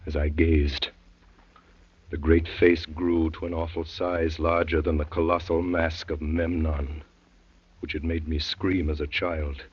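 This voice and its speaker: Husky, haunted poet narrator. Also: male voice, a bass voice, flat delivery, a little slow, full character voice. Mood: awed, dread. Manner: dramatic, rhapsodic, literary. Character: earnest, haunted poet-detective recounting his strange vision. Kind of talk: 1930s radio drama narration and acting. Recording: muffled, lo-fi 1930s radio recording.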